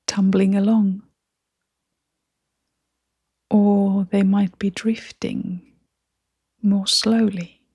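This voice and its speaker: Female meditation guide. Hushed, airy delivery at a very unhurried pace, gentle and calming, with generous pauses. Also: mid-range voice, dry recording, studio quality. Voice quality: soft, breathy, often near-whispering. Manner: gentle, soothing, with long pauses.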